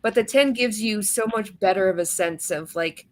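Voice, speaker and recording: A woman's voice: mid-range voice, normal speed. A woman chatting thoughtfully about book ratings on a video call, hedging as she goes. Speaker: book channel guest. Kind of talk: casual group chat on video call.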